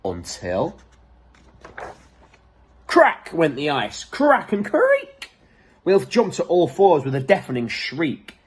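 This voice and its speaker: A man reading a children's picture book aloud in an expressive, dramatic way. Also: a little high, slow pace, pauses often, a little hiss or hum. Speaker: storybook reader.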